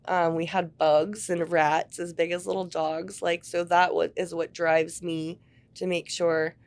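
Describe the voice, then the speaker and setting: Heartfelt woman, slightly low voice. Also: little pitch movement. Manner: heartfelt, halting speech with fillers. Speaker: ministry volunteer. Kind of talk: casual interview talk.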